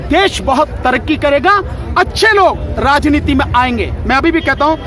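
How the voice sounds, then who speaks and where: Impassioned man at an outdoor protest, high-pitched. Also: expressive, moderate pace, faint music behind. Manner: forceful, rhetorical, repetitive emphasis. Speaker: protest supporter interviewed. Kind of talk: impassioned street interview. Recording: loud crowd outdoors.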